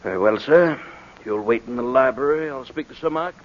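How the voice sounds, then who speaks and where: An actor playing a polite, reserved English butler in a 1940s radio drama. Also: male voice, medium pitch, brisk, close to an everyday voice. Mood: formal, deferential. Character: formal, deferential English butler. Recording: muffled 1940s radio recording.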